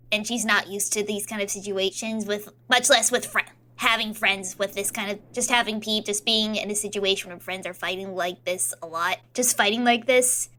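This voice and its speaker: A woman playing in a tabletop roleplay podcast, talking casually in a halting way, often repeating words. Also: medium pitch, bright, forceful tone.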